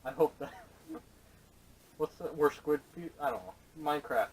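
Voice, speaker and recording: A man's voice: fairly high pitch, normal speed, a little hiss or hum. A man co-hosting a podcast in casual, sarcastic banter with plenty of swearing and teasing, caught on a camera microphone. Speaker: podcast co-host. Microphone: camera audio from a distance. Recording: distant camera microphone, poor audio.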